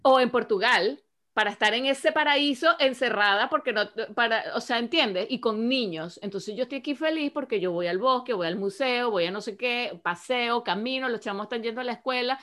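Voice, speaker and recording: A woman, co-hosting an online talk show, talking in a lively way, laughing often and checking in with the listener. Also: a little high, moderate pace. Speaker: co-host. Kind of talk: casual chat on an online show. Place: lives in Finland.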